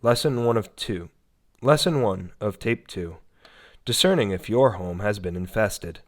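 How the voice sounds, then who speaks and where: A man's voice: on the low side, lively intonation, measured pace. A man voicing an impersonal instructional warning tape in a horror story, formal and clinical.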